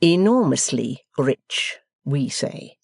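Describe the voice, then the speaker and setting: A narrator voicing a pompous English gentleman who speaks slowly and condescendingly, as if to a deaf child. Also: mid-range voice, animated, dry recording, studio quality, pitched above the performer's own voice. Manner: slow, loud, over-explaining as if to a child. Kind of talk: audiobook character voice.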